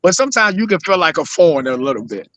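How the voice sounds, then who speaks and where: A man's voice: a little high, very animated, rapid-fire, a bit bright, no echo. A man from Ghana, a panelist joining a talk show by video call, speaking earnestly and repeating himself. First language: Twi. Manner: earnest, repetitive, preachy. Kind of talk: casual talk show discussion. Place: home room, remote.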